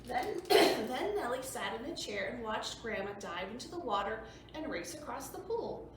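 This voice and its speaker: Woman, children's storytime host, high animated voice, simple explanations, very echoey distant recording. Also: normal speed. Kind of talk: children's read-aloud and storytime presenting. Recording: very echoey room, distant mic, faint noise.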